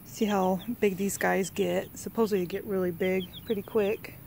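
A woman's voice: mid-range voice, flat delivery, moderate pace, creaky, darkish tone, a little hiss or hum. A woman talking casually to her phone camera outdoors in a backyard, coaxing her pets in a sing-song voice. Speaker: vlogger. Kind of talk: casual chat. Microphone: handheld phone camera.

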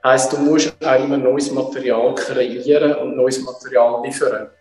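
A man in his forties with a Swiss German accent hosts a casual interview, putting questions at a medium pitch. His voice rings with long reverb in an echoey indoor room, and faint music plays behind. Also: little pitch movement, moderate pace, darkish tone. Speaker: interviewer, host. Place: indoor martial arts training room.

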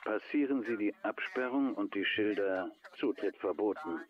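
An actor playing a cold killer giving measured instructions in a crime documentary dub. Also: male voice, fairly low pitch, lightly acted. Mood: cold, solemn. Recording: dull lo-fi recording, crowd-like background.

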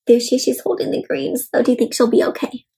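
A young woman reading a storybook aloud to children in an expressive, explanatory way. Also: sits high, fast, creaky, a little hiss or hum. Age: young adult. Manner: expressive, explanatory, asks the listener questions. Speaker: host of a children's read-aloud show. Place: indoor room at home.

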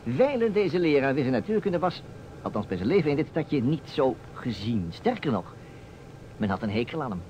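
A man's voice: mid-range voice, moderate pace, lightly acted, low-quality recording. A man acting a playful, argumentative storyteller who steers a detective game in a Dutch radio play. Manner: animated, theatrical. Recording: old radio recording, faint noise.

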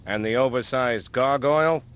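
An actor playing a skeptical, no-nonsense federal agent in a video game, speaking bluntly. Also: male voice, medium pitch, moderate pace, a bit bright, clear, resonant tone, natural voice. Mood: skeptical, urgent. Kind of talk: video game cutscene acting.

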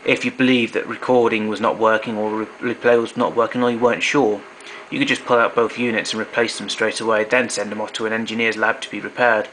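Bright-voiced man demonstrating equipment. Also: lowish voice, little pitch movement, fast talker, few pauses, slight background noise, poor audio. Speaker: hobbyist demonstrator. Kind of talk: explanatory equipment walkthrough. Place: indoor room at home.

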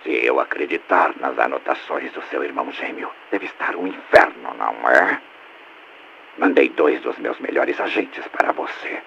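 A sinister male game villain, extremely low and gravelly. He speaks with smug, mocking politeness and measured menace. The sound is a muffled, noisy tape. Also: sing-song, sharp tone, exaggerated voice. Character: calm, condescending, sinister male mastermind villain. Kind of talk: dubbed video game acting. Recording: muffled noisy taped radio-like recording.

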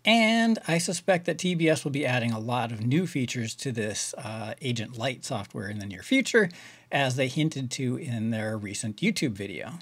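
A man's voice: medium pitch, expressive, moderate pace, a bit bright, breathy edge, dry recording. A middle-aged man with an American accent hosting a casual tutorial, explaining things step by step in a conversational way. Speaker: video tutorial host.

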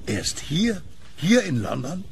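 Guy doing confused kiosk man in a horror radio play, asking questions, very up and down, bit gravelly. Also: on the high side, big pitch swings, unhurried, slight background noise, exaggerated voice. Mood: alarmed. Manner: earnest, questioning. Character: puzzled kiosk keeper with keen hearing, earnest. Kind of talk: German audio horror drama acting.

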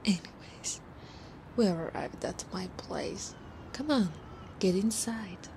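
Woman voicing a slow, breathy, flirty slime monster woman. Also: medium pitch. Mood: relaxed, flirty. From Italy. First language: Italian. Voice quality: breathy, often half-whispered. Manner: slow, playful, teasing. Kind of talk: intimate ASMR roleplay. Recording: clear background ambience noise.

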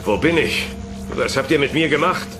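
A man's voice: a little high, lively intonation, normal speed, close to an everyday voice. An actor playing a bewildered, distressed test-subject prisoner in a sci-fi radio drama, questioning his captors. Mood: confused fear. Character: bewildered male prisoner being experimented on. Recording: loud music behind the voice.

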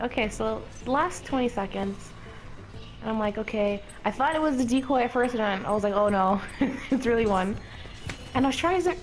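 A woman giving casual gameplay commentary in a sweary, exasperated way, chuckling often, with a slightly sick, sore-throated voice. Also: fairly high pitch.

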